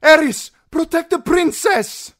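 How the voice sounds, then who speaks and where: A man voicing a desperate, headstrong young princess in a fantasy audiobook, urgent and high-pitched. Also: lively intonation, takes it slowly, fairly bright tone, dry recording, clean studio sound, full character voice.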